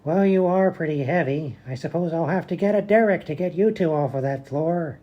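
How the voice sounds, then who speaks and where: A woman playing a brusque, matter-of-fact hospital doctor with deadpan delivery in a radio comedy. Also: low voice, fairly quick, muffled recording, a little hiss or hum, natural voice.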